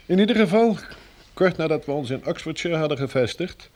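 An actor playing a polite, affable pilot in a Dutch radio drama, explaining things courteously. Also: male voice, on the high side, animated, fairly quick, darkish tone, lightly acted. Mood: informative. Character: polite, affable helicopter pilot, male fellow survivor.

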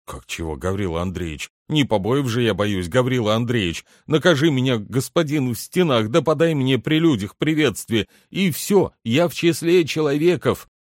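A man's voice: medium pitch, expressive, normal speed, few pauses, dry recording, clean studio sound, pitched above the performer's own voice, close to an everyday voice. A man voicing a frightened, self-pitying, hard-drinking shoemaker in a storybook, pleading and rambling in florid complaint. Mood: aggrieved pleading. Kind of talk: dramatic literary audiobook character reading.

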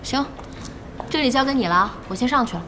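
A woman playing a bossy, outspoken internet-cafe owner and passionate gaming fan in an audio drama, with a brisk manner. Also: sits high, expressive, bright, forceful tone, slight background noise. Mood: brisk, businesslike. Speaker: voice actor in a radio drama. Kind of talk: Chinese audio drama acting.

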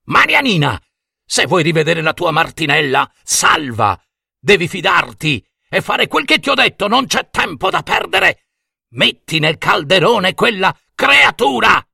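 A man's voice: on the high side, very animated, drawn-out words, vocal fry, bright, forceful tone, husky, no room sound, studio quality, exaggerated voice. A man voicing a commanding village healer aunt in a storybook tale, with an emphatic, incantatory delivery. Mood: urgent commanding. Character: commanding folk-healer aunt, urgent, chanting spells over a cauldron.

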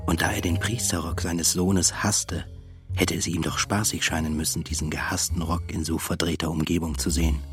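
Radio play first-person narrator: deep, level, pensive, music underneath. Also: male voice. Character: pensive, observant man recounting his thoughts. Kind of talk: literary radio drama narration.

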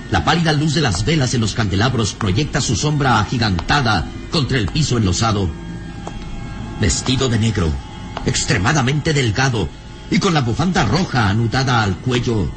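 A man narrating a radio serial from a script in a grave, suspenseful, theatrical manner. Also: on the low side.

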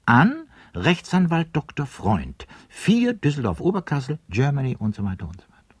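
An actor playing an officious clerk who announces addresses and message headers in a clipped, bureaucratic way in a radio play. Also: male voice, mid-range voice, big pitch swings, normal speed, breathy edge, no room sound, studio quality.

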